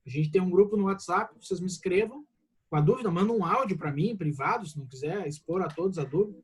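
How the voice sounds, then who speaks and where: A man's voice: high voice, animated, fast, breathy edge. A Brazilian man, a law professor on a video call, talking casually and reassuringly to his students, peppering his speech with "né?". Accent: Brazilian Portuguese. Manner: casual, warm reassurance with frequent "né?". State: slightly congested. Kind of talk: online class Q&A.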